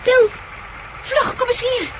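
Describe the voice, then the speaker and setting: An actress playing an affectionate, level-headed female survivor in a 1960s Dutch radio drama, gentle and matter-of-fact, with a Netherlandic accent. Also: extremely high pitch, steady tone, slow pace, heavily acted. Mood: anxious, urgent. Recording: noisy old 1960s radio recording.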